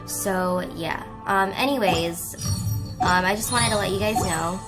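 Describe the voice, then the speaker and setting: Young woman gamer doing chatty commentary, medium pitch, corrects herself a lot, loud music under her. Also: unhurried, poor audio. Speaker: gaming video host. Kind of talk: casual, chatty gameplay commentary. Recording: loud game music behind the voice.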